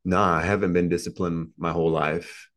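Male, 30s, low register, earnest motivational interviewee, emphatic and repetitive delivery. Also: level tone, normal speed, fairly bright tone. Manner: earnest, emphatic, repetitive phrasing with rhetorical tags. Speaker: podcast guest, entrepreneur.

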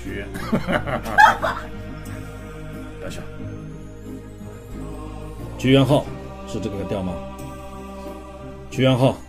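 Slow-paced man trying out lines. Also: lowish voice. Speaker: voice actor rehearsing lines. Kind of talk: halting outtake rehearsal. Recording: music under the voice.

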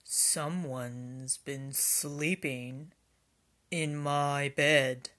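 A woman voicing a big gruff papa bear in a storybook reading, speaking slowly and deeply. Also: steady tone, fairly bright tone, heavily acted. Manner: slow and deep.

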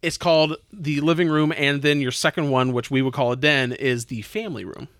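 A man's voice: fairly high pitch, steady tone, brisk, fairly bright tone, no room sound, studio quality. A man from Tennessee chatting casually as a player in a tabletop role-playing game. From Tennessee, USA.

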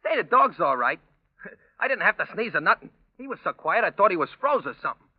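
An actor playing a chatty, simple-minded working-class sidekick in 1940s radio style. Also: male voice, high-pitched, big pitch swings, fast talker, breathy edge, no echo, exaggerated voice. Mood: excited, comic. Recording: thin, phone-like vintage radio recording.

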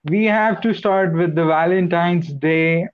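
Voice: mid-range voice, a little slow, muffled recording, background noise. A co-host of a live online show, introducing a guest with effusive praise and many fillers, over a video call.

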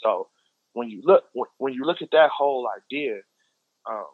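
A man's voice: medium pitch, animated, normal speed, no echo, narrow, tinny audio. A man with an American accent explaining his views in casual conversation, emphatic and repeating phrases for stress.

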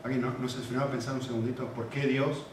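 A man with a Rioplatense Spanish accent preaching a sermon, animated and repetitive, often checking in with questions to the congregation. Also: mid-range voice, fast talker, noisy background. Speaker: pastor. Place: church. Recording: very echoey hall, mic at a distance.

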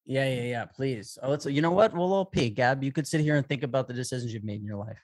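A man's voice: medium pitch, quick pace, background noise. A young man co-hosting a podcast in a loose, joking, self-mocking way. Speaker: podcast co-host. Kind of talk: casual podcast chat.

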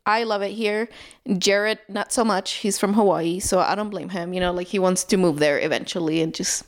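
A woman's voice: mid-range voice, fairly even intonation, normal speed. A woman in her thirties from El Salvador with a Salvadoran Spanish accent, chatting casually about her life with lots of "like" and "um". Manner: chatty, many "like" and "um", giggles. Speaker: interview guest. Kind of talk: casual storytelling.

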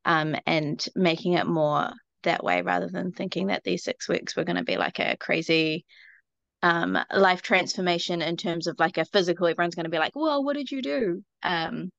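A woman's voice: lowish voice, moderate pace, creaky, a bit bright, dry recording, highs cut off. A woman from New Zealand with a New Zealand accent, talking candidly on a phone in a coaching group call, rambling with many ums. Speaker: coaching client.

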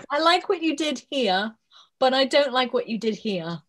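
A woman's voice: high voice, a little slow, slight background noise. An American woman in her thirties, a voice actress chatting as an interview guest, telling stories with a self-deprecating laugh. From United States. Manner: laughs often, self-deprecating, lively storytelling. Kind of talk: casual interview chat over a call.

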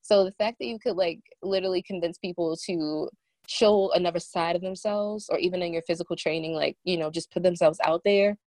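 A woman's voice: on the low side, brisk, a little mellow, dry recording. A woman hosting a conversational podcast interview, speaking in an effusive, rambling way. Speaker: podcast host.

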